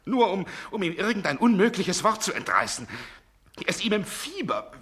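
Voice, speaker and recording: An actor playing a proud, sick, irritable Russian gentleman in a German radio drama, speaking scornfully in agitated, broken-off sentences. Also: sits high, sing-song, moderate pace, slightly rough, full character voice. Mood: feverish accusation. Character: proud, ill, irritable Russian gentleman confronting his rival.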